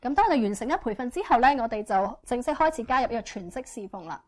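A woman interprets a church testimony phrase by phrase and keeps up with the speaker. She talks fast and fluently, with frequent fillers. Her voice is a little higher than most women's. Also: animated. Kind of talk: consecutive interpretation.

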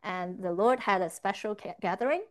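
A woman's voice: medium pitch, moderate pace. A woman interpreting a church testimony, rendering the speaker's words line by line in the first person. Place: church service.

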